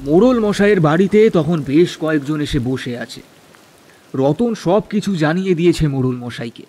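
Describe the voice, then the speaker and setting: A man's voice: fairly high pitch, lively intonation, normal speed, dark tone. A man narrating a scripted village ghost story in a dramatic storytelling style.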